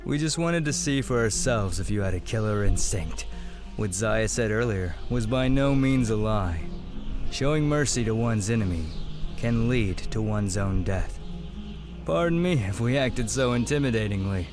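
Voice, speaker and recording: A man playing a proud, honourable warrior in a fantasy audio drama, with formal, measured phrasing. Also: on the low side, animated, music in the background, close to an everyday voice. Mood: sincere, grave. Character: proud young warrior brother, intimidating yet honourable.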